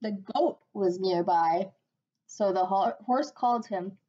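Slow, gentle woman reading a storybook. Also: medium pitch. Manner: slow, expressive, gentle read-aloud voice. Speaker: teacher reading aloud. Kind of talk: storybook read-aloud on webcam. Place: small room at home. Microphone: webcam at desk. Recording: dull lo-fi webcam audio.

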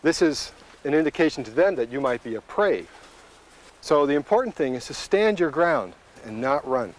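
A man's voice: a little high, expressive, moderate pace, poor audio. A middle-aged man working as a wilderness guide, explaining things in a matter-of-fact, instructive way while hiking outdoors. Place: outdoors in open coastal tundra, on the move.

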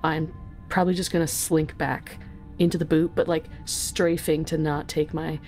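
A woman's voice: low voice, little pitch movement, normal speed, a little mellow, soft background music. A woman guest on a gaming podcast, casually improvising a monster's moves and explaining them as she thinks aloud. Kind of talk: casual tabletop game improvisation.

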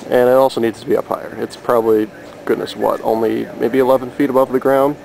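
A man's voice: mid-range voice, little pitch movement, fast, gravelly, a little mellow. A man giving a casual, unscripted demonstration of his hobby gear outdoors, hesitant and thinking aloud as he films with a handheld camera. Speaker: amateur radio hobbyist filming his gear. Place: outdoors in a yard. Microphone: handheld camera microphone. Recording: noisy handheld camera with background hum.

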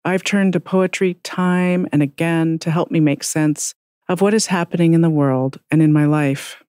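A podcast host introducing a guest and asking gentle questions, answering with soft affirming murmurs. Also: medium pitch, fairly even intonation, normal speed, clean tone, no room sound, studio quality. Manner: gentle and affirming, many short murmured replies. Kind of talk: podcast interview.

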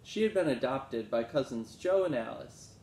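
A man's voice: lively intonation, moderate pace, darkish tone. A man in his thirties reading a children's story aloud like a teacher, expressively, acting out the dialogue. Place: small room at home. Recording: roomy echo, low rumbling noise.

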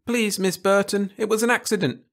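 Guy doing a timid girl of eleven, high voice, sorry and pleading, storybook reading. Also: fairly even intonation, normal speed, gravelly, fairly bright tone. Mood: apologetic, pleading. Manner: pleading, hesitant. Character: meek, apologetic eleven-year-old girl, aspiring actress. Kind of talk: storybook audiobook character voice.

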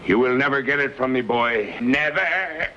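A man's voice: medium pitch, moderate pace, natural voice. A man playing a hot-tempered French trapper villain in an old western, threatening and theatrical. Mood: menacing, defiant. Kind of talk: 1950s western screen acting. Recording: dull, noisy old film soundtrack.

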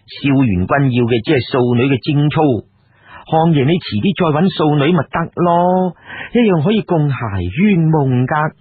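A man's voice: a little high, lively intonation, normal speed, breathy edge, no room sound. A man with a Cantonese accent voicing a worldly, sighing brothel madam in an ancient tale. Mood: coaxing. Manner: sighing, coaxing. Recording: muffled, narrow phone-grade audio.

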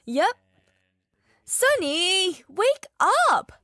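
High, very animated teenage girl voiced by a woman. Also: drawn-out words, noisy background, exaggerated voice. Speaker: voice actor. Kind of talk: audio drama acting.

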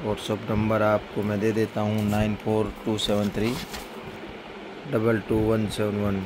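A man with a fairly low voice narrates a product showcase in a very flat tone. His patter is plain and repetitive, like a casual seller's. It is a phone recording made indoors, with clear background noise. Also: a little slow.

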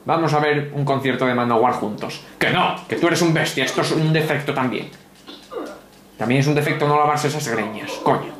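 A man's voice: mid-range voice, brisk, reverberant, crowd noise. A man, giving sardonic, grumbling, mock-pompous gameplay commentary from a home room. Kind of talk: sarcastic ranting game commentary.

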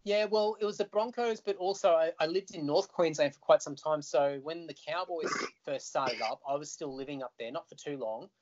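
An Australian man, a musician guest, telling stories in an animated, chatty way over a video call. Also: fairly high pitch, moderate pace, few pauses. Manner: animated, chatty storyteller who laughs easily. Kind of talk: casual video call chat. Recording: dull lo-fi call audio.

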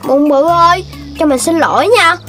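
A woman voicing a playful, naive little puppy boy in a children's cartoon, childlike and expressive. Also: extremely high pitch, normal speed. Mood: apologetic. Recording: music playing behind the voice.

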